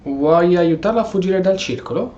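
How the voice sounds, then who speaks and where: A man's voice: high voice, expressive, fairly quick, mellow, lo-fi sound. A man from Italy giving casual let's play commentary, reacting aloud and answering characters in role. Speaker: gaming video host. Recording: faint game ambience behind. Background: video game audio.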